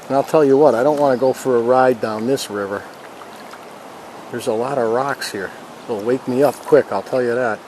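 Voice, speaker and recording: A man's voice: medium pitch, moderate pace. A man gives casual, folksy fishing commentary, chatting to viewers as he fishes outdoors. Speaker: fishing vlog host. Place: outdoors standing in a river. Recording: clear outdoor river and ambient noise.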